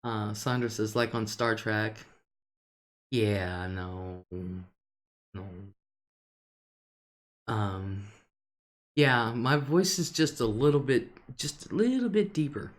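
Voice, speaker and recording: An older woman hosting her own live stream, talking in an unhurried, drawn-out way. Her voice is a deep alto, and she chats loosely with her viewers, answers their comments and laughs between thoughts. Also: expressive. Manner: chatty, laughs often between remarks.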